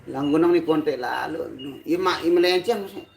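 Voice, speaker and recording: A man with a hoarse, recovering voice, chatting casually and greeting livestream viewers by name in a relaxed, informal way. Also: a little high, a little fast, slight background noise. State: recovering sore throat, hoarse voice. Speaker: livestream host and singer.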